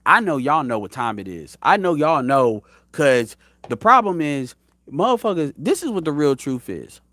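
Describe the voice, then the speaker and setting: Man in his thirties, host of a commentary show: blunt, profane, rambling, with a lively swinging pitch and a dry close sound. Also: moderate pace, no room sound. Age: 30s. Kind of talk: casual opinion commentary.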